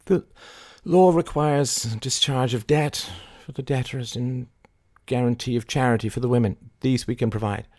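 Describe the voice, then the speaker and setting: A man's voice: mid-range voice, darkish tone, no room sound, studio quality, pitched above the performer's own voice, lightly acted. Man voicing an intense, mercurial woman. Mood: businesslike. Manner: dramatic, deliberate, mercurial. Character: thin, intense, volatile woman, commanding then fragile.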